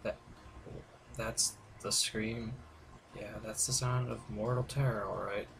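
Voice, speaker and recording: A man's voice: on the low side, steady tone, slow, vocal fry, a bit bright. A man hosting a gaming video, reading the characters' dialogue aloud in a playful, informal way with some stumbles. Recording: very noisy gameplay recording with clicks.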